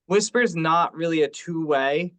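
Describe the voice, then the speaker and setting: A man with a fairly high-pitched voice speaks in an informal online meeting. He sounds like an organizer and teacher, talking in an explanatory, conversational way. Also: normal speed, a bit bright. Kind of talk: casual online meeting talk. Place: remote teleconference call.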